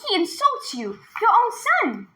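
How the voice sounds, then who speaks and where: A woman voicing a bold, excitable young village girl in a storybook, speaking emphatically and eagerly. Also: extremely high pitch, a little slow, pitched above the performer's own voice, exaggerated voice. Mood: goading.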